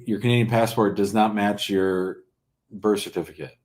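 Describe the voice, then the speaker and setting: A man's voice: lowish voice, fairly even intonation, moderate pace, a little mellow. A man hosting a call-in show, giving informal legal advice in a blunt, probing, conversational way. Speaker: host taking callers' immigration questions.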